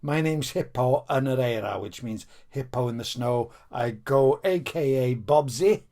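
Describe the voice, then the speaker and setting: A man's voice: mid-range voice, a little slow, mellow. A Welsh man rambling off the cuff to the viewer about his favourite music, chatty and theatrical, at times stepping back from the mic. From Wales. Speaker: music fan vlogger.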